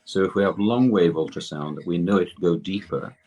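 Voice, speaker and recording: An older man with a husky voice explains technical material as a guest expert, haltingly and often correcting himself mid-sentence. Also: low-pitched, mellow.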